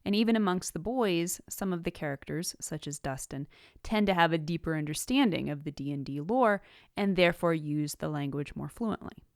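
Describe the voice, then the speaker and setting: A woman with a slightly low voice delivers scripted instructional narration as a podcast host. Her phrasing is articulate and measured, leaning on key words. The sound is dry, with almost no room around it. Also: expressive, no echo. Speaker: podcast host and writing teacher.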